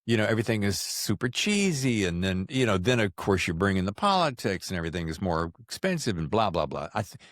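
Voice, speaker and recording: A man hosting a casual interview, talking in an animated, rambling, jokey way. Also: on the low side, fast talker, few pauses, dry recording, studio quality. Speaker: podcast host interviewing an author.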